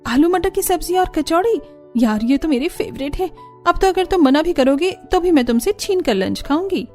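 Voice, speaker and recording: A woman voicing a bubbly, fussy young college woman in a cartoon story, expressive and playfully whiny. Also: extremely high pitch, normal speed, soft background music. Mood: delighted.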